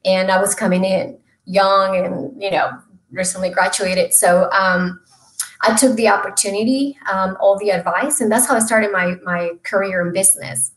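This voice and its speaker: Woman from mexico chatting on a video call, earnest, lots of ums, middle pitched voice, roomy sound. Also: fairly even intonation, normal speed. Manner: earnest, with many fillers and restarts. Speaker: guest, small business loan expert. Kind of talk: casual interview chat. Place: home room.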